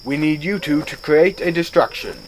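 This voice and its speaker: A man's voice: on the high side, lively intonation, normal speed, a little hiss or hum, close to an everyday voice, low-quality recording. A man as a decisive starship captain. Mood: commanding. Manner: giving orders crisply. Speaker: ship captain. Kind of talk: audio drama acting.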